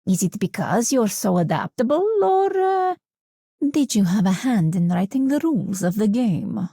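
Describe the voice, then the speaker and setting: A woman's voice: medium pitch, very animated, moderate pace, mellow, husky, dry recording, studio quality. Aloof, lilting, shrewd woman. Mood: amused, probing. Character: amused, aloof, shrewd woman with a probing air. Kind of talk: video game voice line acting.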